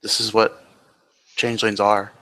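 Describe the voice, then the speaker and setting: A man voicing a gentle, reassuring changeling creature in a tabletop roleplaying game, calm and measured as he coaxes. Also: on the low side, low-quality recording. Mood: coaxing. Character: gentle, reassuring changeling, a scary-looking creature, playful at heart.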